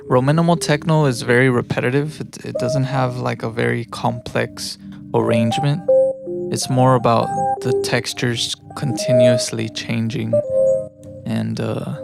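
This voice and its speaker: A man's voice: medium pitch, gravelly. A man from Mexico living in the United States chats casually and thinks aloud in a rambling, self-reflective way while producing music on a livestream. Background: electronic music.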